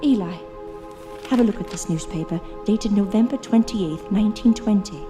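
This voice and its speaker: A woman's voice: medium pitch, moderate pace, natural voice. A woman playing an anxious, observant investigator in a period horror audio drama, speaking earnestly and formally with an uneasy edge. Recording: faint music under the voice. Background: faint music.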